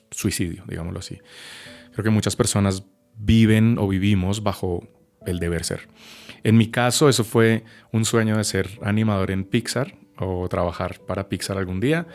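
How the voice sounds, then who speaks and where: Podcast guest, man in his late thirties, deep voice, thoughtful and hesitant delivery. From Colombia. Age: 30s. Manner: reflective, measured, with frequent hesitations. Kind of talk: personal testimony in a podcast conversation.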